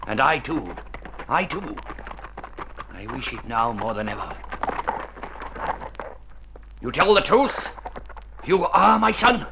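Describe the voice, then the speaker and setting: A man playing a grave, dignified older Spanish father in a radio drama, speaking formally and with measured care. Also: medium pitch, big pitch swings, gravelly, exaggerated voice. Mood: earnest, yearning. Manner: formal, measured, stilted phrasing. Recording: noisy old radio drama recording.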